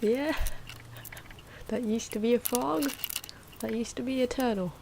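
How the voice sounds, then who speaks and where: Soft-spoken ASMR woman, often whispering, noisy background. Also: on the high side, a little slow. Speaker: host of an ASMR drawing channel. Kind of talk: ASMR, casual voice-over commentary on speed drawing. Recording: clear background noise from drawing sounds. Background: pen and marker scratching.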